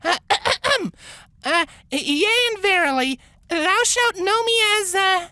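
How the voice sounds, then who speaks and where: A nervous young pony sorceress, speaks in a very high, thin voice. She intones lofty archaic words but keeps stumbling. Her voice sounds slightly distant. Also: lively intonation, slow, sharp tone, no room sound, heavily acted. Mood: flustered. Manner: grand archaic incantation, faltering with fillers. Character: young unicorn mare summoning spirits, nervous, archaic.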